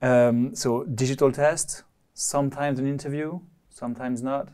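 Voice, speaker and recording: A man's voice: mid-range voice, steady tone, measured pace, darkish tone, clear, resonant tone. A man interviewing students and staff, asking questions informally with some stumbling.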